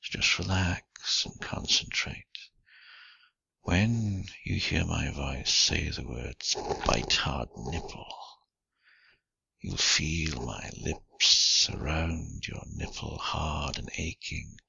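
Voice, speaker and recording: A man with a husky, gravelly voice giving intimate erotic hypnosis guidance in a slow, deliberate, breathy and often whispered delivery, recorded close and dry with dull sound. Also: extremely low pitch, bright, forceful tone.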